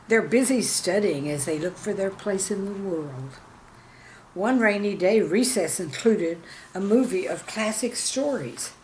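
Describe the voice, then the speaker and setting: A woman in her sixties, a teacher reading humorous classroom anecdotes in an expressive, deliberate storytelling manner. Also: on the low side, a little hiss or hum. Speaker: retired or veteran teacher, storyteller host. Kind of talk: scripted humorous anecdote narration.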